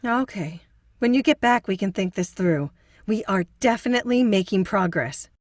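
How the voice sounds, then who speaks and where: A woman playing a sharp, determined amateur investigator with a wry, deliberate manner in an audio drama. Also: medium pitch, expressive, dry recording, clean studio sound, lightly acted. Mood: encouraging.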